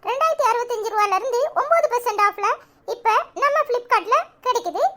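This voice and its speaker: A woman voicing a chirpy little cartoon child who presents products in a scripted promotion, with a pitched-up voice. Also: fairly quick, noisy background.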